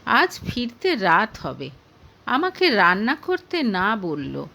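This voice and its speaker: A woman's voice: medium pitch, wildly swooping pitch, takes it slowly, creaky, sharp tone, exaggerated voice. A woman voicing a proud, chatty young married woman confiding in her friend in an audio story. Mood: gushing, proud.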